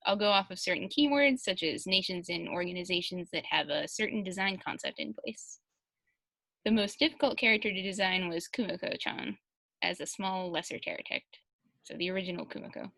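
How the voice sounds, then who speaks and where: A woman's voice: fairly low pitch, fairly quick, creaky, sharp tone, dry recording. A woman chatting casually on a Q&A panel and reading answers aloud as a translator.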